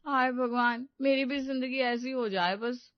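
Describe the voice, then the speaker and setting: A woman's voice: high-pitched, steady tone, unhurried, no room sound, muffled recording, voice pushed up. A woman voicing an envious, discontented young housewife in a storybook tale, whining and exclaiming with self-pity. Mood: envious, sulky.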